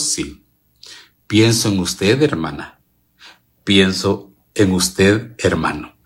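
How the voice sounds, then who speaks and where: Slow, expressive Guatemalan preacher man. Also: medium pitch. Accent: Guatemalan Spanish. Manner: addresses listeners directly, repeats phrases, asks and answers own questions. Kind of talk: devotional gospel reflection to an online and radio audience.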